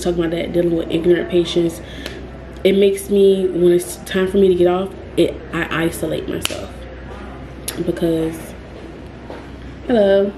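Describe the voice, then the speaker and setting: A woman's voice: on the low side, mellow, reverberant, a little hiss or hum, poor audio. A young woman vlogging in a casual, rambling way, with frequent ums and self-corrections. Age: young adult. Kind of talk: casual talk to camera.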